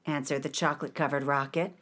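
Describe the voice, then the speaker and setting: A woman narrating a scripted children's story with an expressive storybook delivery. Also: sits low, quick pace. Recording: guitar music behind the voice.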